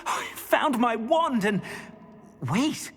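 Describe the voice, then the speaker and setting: An actor playing an anxious, earnest older wizard, a metal trader in a video game. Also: male voice, very high voice, drawn-out words, heavily acted. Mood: desperate, urgent. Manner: earnest, expressive, rueful. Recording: game music behind the voice.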